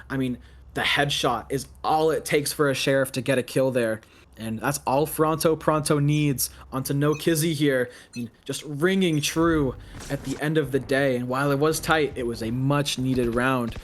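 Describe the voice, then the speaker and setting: A man giving live play-by-play and analysis as an esports commentator. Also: on the high side.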